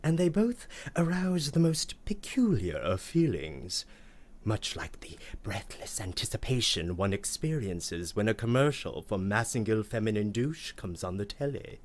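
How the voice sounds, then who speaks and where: Man playing a florid, prim lady narrator, lively pitch. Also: a little slow. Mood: savoring. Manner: pompous, florid, savoring words. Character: prim, florid, girlish-minded lady narrator, aunt of a niece. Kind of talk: theatrical scripted radio play monologue.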